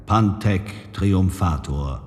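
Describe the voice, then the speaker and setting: A grand male advert voice for a radio play. He is low and solemn, stretching out slogans. The sound is heavily reverberant over music. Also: extremely slow. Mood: grandiose. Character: grandiose male advertising announcer selling high-tech footwear. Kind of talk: commercial voice-over parody in radio drama. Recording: long reverb, music bed.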